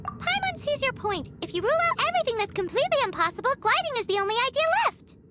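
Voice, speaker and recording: Tiny anxious fairy sidekick from a game, squeaky kid voice, super high and bouncy. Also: female voice, sing-song, fast, very noisy. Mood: puzzled, reasoning. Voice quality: squeaky, childlike. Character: small floating fairy companion, childlike and anxious. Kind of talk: video game voice acting.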